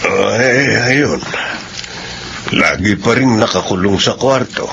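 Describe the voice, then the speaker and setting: A man's voice: lowish voice, animated, takes it slowly, gravelly, heavily acted. A man playing a troubled, serious middle-aged uncle in a radio drama. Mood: worried. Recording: dull radio audio, faint noise.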